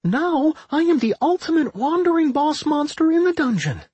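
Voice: medium pitch, huge pitch swings, normal speed, breathy edge, no echo, pitched above the performer's own voice, exaggerated voice. A narrator voicing a proud, boastful slime girl dungeon boss in an audiobook, declaring things grandly.

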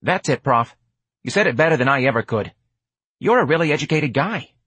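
Man putting on a high-pitched streetwise young hustler. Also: expressive, fairly quick, a bit bright, slightly rough, no room sound. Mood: cocky. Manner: casual street slang, wheedling. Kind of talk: audiobook character acting.

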